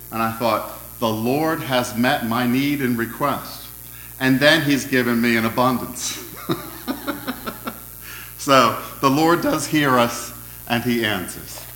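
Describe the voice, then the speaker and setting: A man preaching an interactive sermon, asking his congregation questions in a loud, friendly voice. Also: mid-range voice, expressive, slow, reverberant, low-quality recording. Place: church hall.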